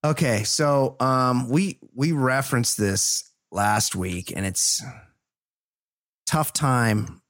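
A man from Costa Mesa, co-hosting a comedy podcast in casual banter, wisecracking and trailing off quietly. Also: mid-range voice, slow, no echo, studio quality. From Costa Mesa, California. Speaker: podcast co-host.

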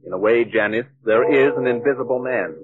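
An actor playing a calm, secretive young doctor in an old radio drama, on a tinny recording. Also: male voice, medium pitch, a little fast, lightly acted. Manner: steady, reassuring and firm. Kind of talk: 1940s radio acting.

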